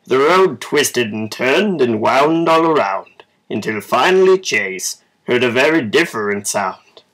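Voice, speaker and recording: A man reading a children's story aloud in an informal, measured amateur way. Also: mid-range voice, animated, fairly bright tone, low-quality recording. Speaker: storybook reader.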